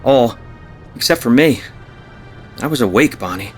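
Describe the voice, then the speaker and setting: An actor playing a grave, concerned friend, speaking earnestly in naturalistic audio drama. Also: male voice, mid-range voice, lively intonation, measured pace, faint music behind, close to an everyday voice. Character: concerned, grave man comforting a shaken friend.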